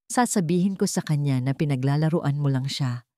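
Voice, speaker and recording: A woman's voice: deep voice, fairly quick, mellow, no echo, studio quality, natural voice. A woman voicing a cold, threatening scorned fiancée in a story, commanding and taunting.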